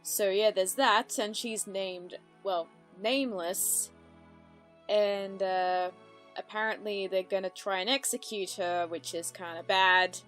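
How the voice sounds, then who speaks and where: A woman's voice: medium pitch, slow. Rambling woman giving casual reaction commentary. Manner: rambling, thinking aloud. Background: animated audio drama playing with music.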